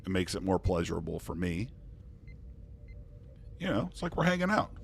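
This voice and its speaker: A man's voice: low-pitched, big pitch swings, moderate pace, gravelly, a little hiss or hum. A man chatting casually on a live poker stream, thanking viewers and joking in character as a starship commander. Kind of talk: casual live-stream chat while playing online poker.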